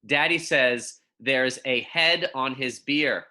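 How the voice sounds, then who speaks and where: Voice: medium pitch, takes it slowly, bright, forceful tone. A teacher giving a read-aloud lesson for young children in a friendly, informal, encouraging way. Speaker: elementary school teacher.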